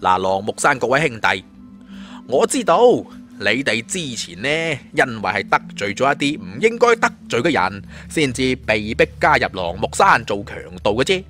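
Cocky young Cantonese martial-arts hero read by a male narrator, glib, taunting, lively pitch, music underneath. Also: moderate pace. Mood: glib, persuasive.

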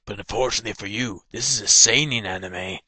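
A man voicing a smug, sardonic vampire gunslinger in a deadpan parody cartoon style. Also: fairly low pitch, fast talker, no room sound. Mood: smug, dry. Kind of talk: fan-dub parody cartoon acting.

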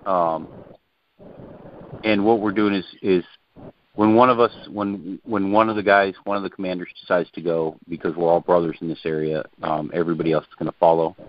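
A male caller from Ohio talking casually and haltingly over the phone. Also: low voice, fairly even intonation. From Ohio, United States. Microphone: telephone. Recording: muffled noisy phone line.

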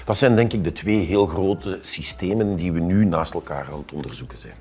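A man's voice: low-pitched, normal speed, darkish tone, husky. A man in his forties with a Flemish accent, giving an expert explanation in a conversational way. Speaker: expert panelist. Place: indoor café-style room, at a table.

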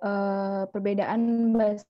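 A young woman answers a question on a video call, soft and a little hesitant. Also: mid-range voice, little pitch movement, a little slow, no echo. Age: young adult. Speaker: student presenter. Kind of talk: casual explaining on a video call. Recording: dull lo-fi call audio with faint music.

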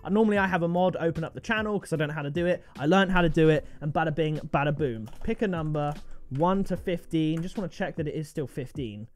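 A man, commenting on game item trades at his desk, excitable and jumping to high-pitched disbelief. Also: quick pace. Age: 20s. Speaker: video game trading streamer. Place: gaming room indoors.

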